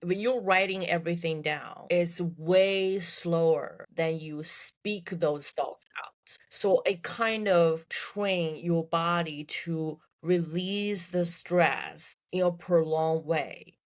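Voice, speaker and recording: Low-voiced young woman from China. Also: fairly even intonation, slow pace, hardly any pauses. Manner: thoughtful, repeats words as she restarts. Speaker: guest. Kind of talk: casual podcast conversation.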